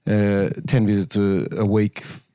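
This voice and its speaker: An Australian-accented man answering interview questions casually, with measured, hesitant phrasing and dry humour. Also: fairly low pitch, monotone, warm tone, smooth, no echo. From Melbourne, Australia. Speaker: interview guest, chiropractor and researcher.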